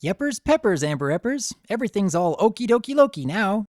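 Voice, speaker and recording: A man voicing a bubbly, excitable young female cartoon pony in a gushing, playful, sing-song way. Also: sits high, a little fast, dry recording, studio quality, voice pushed up. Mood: cheerful.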